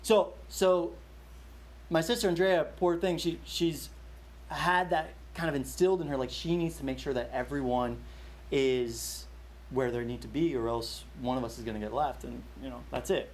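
A man's voice: medium pitch, fairly even intonation, normal speed. A young man leading a Bible study, telling a personal story in a casual, chatty way with many fillers and restarts.

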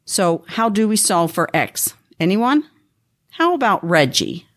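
A woman's voice: mid-range voice, big pitch swings, a little slow. A woman playing a strict, attentive math teacher in an amateur school radio play. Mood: brisk, strict.

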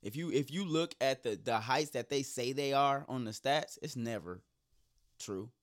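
A man in casual comedic chat whose voice is going hoarse, riffing loosely and cracking profane jokes. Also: medium pitch, normal speed. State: losing his voice.

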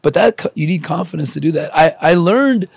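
A man's voice: on the high side, lively intonation, a little fast, gravelly, husky, no room sound, clean studio sound. A man from Ukraine hosting a casual, candid interview chat. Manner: candid, reflective, swears casually. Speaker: podcast host.

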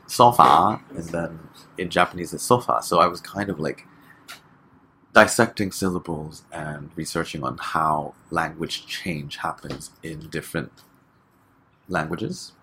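A man from Hong Kong, a guest chatting casually in an interview, relaxed and full of fillers, laughing often. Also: low-pitched, unhurried, husky.

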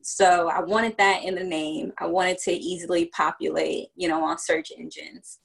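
A woman's voice: on the low side, fairly even intonation, normal speed, vocal fry, breathy edge. A woman from Virginia, a business founder chatting as a podcast guest and explaining things step by step with plenty of fillers. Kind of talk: casual podcast interview.

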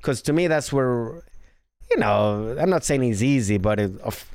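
A man who works as a comedian, chatting casually in a halting, repetitive way with casual swearing. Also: mid-range voice, expressive, darkish tone, clean tone, no echo, clean studio sound. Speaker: comedian, podcast guest. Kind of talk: casual shop talk about stand-up.

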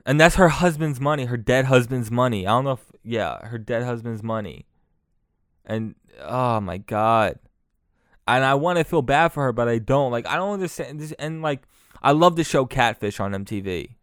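Profane, rambling New podcast host. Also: male voice, mid-range voice, normal speed, clean tone, dry recording, clean studio sound. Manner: profane, mocking, rambling with many restarts. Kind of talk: solo comedic rant.

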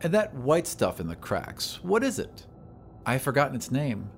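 A man's voice: mid-range voice, wildly swooping pitch, moderate pace, slight background noise. A man voicing a polite, apologetic and talkative woman airship attendant who is anxious to please. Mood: curious.

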